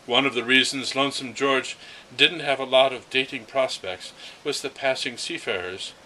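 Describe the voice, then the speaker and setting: A man with a very bright, forward voice at an average pitch reads a literary story aloud. His delivery is expressive and slightly unpolished, over faint ambient noise. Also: normal speed, rough recording. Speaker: reader of a story. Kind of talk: read-aloud first-person literary narration.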